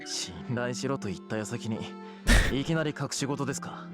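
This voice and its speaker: An actor playing a calm, coolly suspicious young male patient in a Japanese visual novel. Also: mid-range voice, fast, over music, natural voice. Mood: cool, probing.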